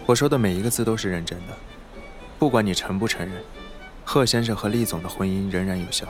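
Radio drama part for a man: loyal corporate aide, businesslike, firm, fairly low voice over clear background music. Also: fast talker, close to an everyday voice. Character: formal, steadfast male corporate aide, politely unyielding. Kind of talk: Chinese radio drama acting.

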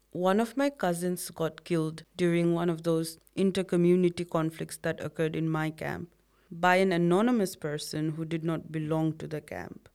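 A young woman from a Bhutanese refugee background who grew up in Nepal, speaking in a measured, reflective, read-aloud way about identity. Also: lowish voice, darkish tone, smooth. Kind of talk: scripted conversation.

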